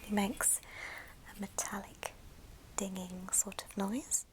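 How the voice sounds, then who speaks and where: A woman speaking in a breathy, unvoiced whisper, gently presenting items in an ASMR show and tell with pauses between short phrases. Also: medium pitch, fairly even intonation, low-quality recording. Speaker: ASMR video host.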